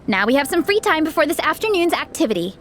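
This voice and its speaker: Peppy young female group leader for an anime game: high-pitched, lively, encouraging. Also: fast talker. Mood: cheerful, encouraging. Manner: peppy, organizing. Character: perky, encouraging young woman leading a training group. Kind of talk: English anime-style video game dub.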